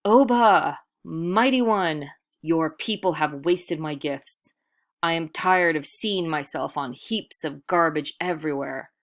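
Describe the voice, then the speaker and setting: Woman voicing a slow, solemn, angry sky spirit. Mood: stern warning. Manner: slow, booming, solemn warning. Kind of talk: storybook read-aloud character voice.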